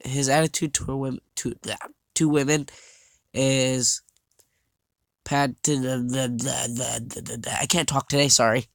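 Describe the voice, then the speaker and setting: A young man vlogging over slides, talking haltingly with many fillers and restarts, his voice a little scratchy and his nose stuffed up. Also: mid-range voice, level tone, dry recording. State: congested, slightly scratchy voice. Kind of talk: casual talk over slides.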